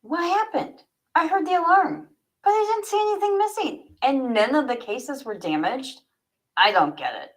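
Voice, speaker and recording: A calm, cautious man in charge from a mystery story, read by a woman. He sounds puzzled but orderly, his phrases lifting in pitch as he speaks. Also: normal speed. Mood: puzzled, concerned.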